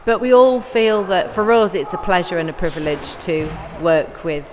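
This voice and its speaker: A woman's voice: lowish voice, animated, moderate pace. A woman, a college deputy head, answering interview questions earnestly with some restarts. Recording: long hall reverb with faint background noise.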